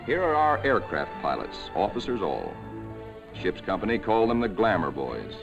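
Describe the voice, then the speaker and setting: A man giving scripted narration for a 1940s wartime documentary, in a measured, solemn way, on an old film soundtrack. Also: fairly low pitch, wildly swooping pitch, vocal fry, dull audio, over music.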